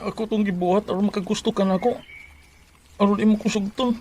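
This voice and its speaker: Lovelorn, earnest Cebuano male worker, played by an actor, radio drama, slightly high voice, faint noise behind. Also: steady tone, brisk, a little mellow, lightly acted. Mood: hurt, indignant. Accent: Cebuano Filipino. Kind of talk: Bisaya radio drama acting.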